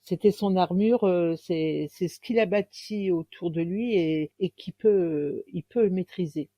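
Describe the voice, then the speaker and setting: A woman in her fifties from northern France, a romance author chatting in an interview, pausing often and restarting her sentences. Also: on the low side, dark tone, no echo. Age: 50s. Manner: hesitant, many pauses and restarts. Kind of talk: casual interview chat.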